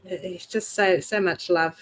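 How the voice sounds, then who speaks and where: A woman's voice: lowish voice, fairly even intonation, unhurried, a bit bright. A woman chatting casually as co-host of a live online show. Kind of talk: casual chat.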